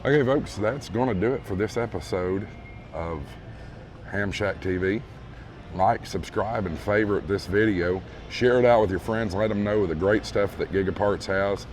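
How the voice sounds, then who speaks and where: A hoarse man losing his voice, casually walking viewers through a large store and calling them folks. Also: on the low side, moderate pace, a little hiss or hum. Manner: easygoing, repeats praise, addresses viewers as folks. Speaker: vlog host touring a store. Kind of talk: casual walkthrough narration. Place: large retail store indoors. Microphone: handheld phone camera.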